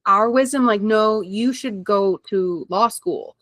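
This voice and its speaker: A woman hosting a casual conversation, chiming in with quick agreement and thoughts that trail off. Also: medium pitch, a bit bright, dry recording.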